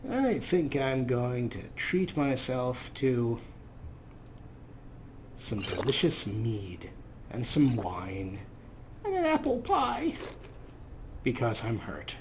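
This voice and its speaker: A man's voice: medium pitch, wildly swooping pitch, slow, long pauses, a little hiss or hum. A man giving casual, self-deprecating gameplay commentary as a let's play host.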